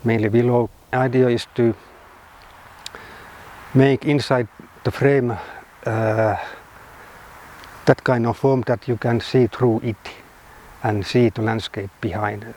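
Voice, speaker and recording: A man from Finland, a sculptor, speaking in a documentary interview in halting, simple phrases with many pauses. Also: mid-range voice, level tone, darkish tone, a little hiss or hum. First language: Finnish.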